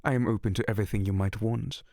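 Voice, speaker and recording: A man's voice: lowish voice, fairly even intonation, fast, gravelly, darkish tone, dry recording. A man voicing a seductive, teasing male incubus in an ASMR roleplay, close on the mic.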